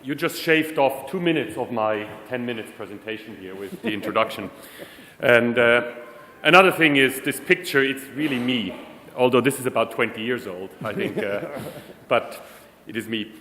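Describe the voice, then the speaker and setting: A man's voice: medium pitch, normal speed, bright, forceful tone. Middle-aged man speaking in an echoey hall. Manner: conversational, self-deprecating, chuckles often. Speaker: conference speaker. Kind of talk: conference talk. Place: large hall. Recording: echoey hall, faint room noise.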